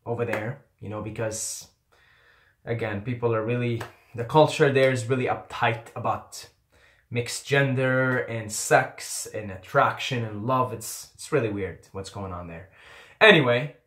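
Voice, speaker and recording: A man's voice: medium pitch, measured pace, a bit bright. A young man from Qatar whose first language is Arabic, telling a personal story in a rambling, candid vlog style with casual swearing and repeated phrases. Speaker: YouTuber. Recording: echoey room.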